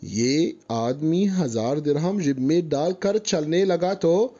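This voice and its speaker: A man's voice: on the high side, dark tone, dull audio, faint music behind. A man narrating a scripted moral story as a storyteller.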